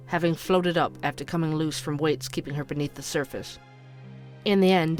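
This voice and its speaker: Guy acting as a true crime podcast host, bit higher voice, measured and probing, soft music under it. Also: natural voice. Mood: grave, suspenseful. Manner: measured, inquisitive.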